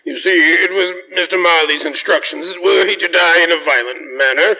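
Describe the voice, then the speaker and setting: A man playing a fussy, polite gentleman lawyer in a radio drama, hesitant and stammering with very formal speech. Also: fairly high pitch, brisk, creaky, heavily acted, low-quality recording. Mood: apologetic, formal.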